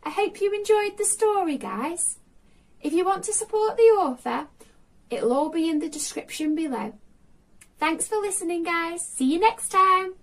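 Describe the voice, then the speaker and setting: A high-voiced woman presents a children's storytime in a very animated, swooping way. She talks straight to young listeners and puts questions to them. She sounds a little away from the mic. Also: normal speed, recorded from a distance.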